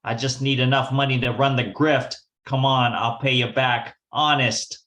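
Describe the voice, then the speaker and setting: Scheming small-time gambler, 1940s radio play, wisecracking, bright forceful delivery, male actor. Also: medium pitch, fairly even intonation, moderate pace, natural voice. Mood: pleading, cocky. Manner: wisecracking, slangy. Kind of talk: 1940s radio detective acting.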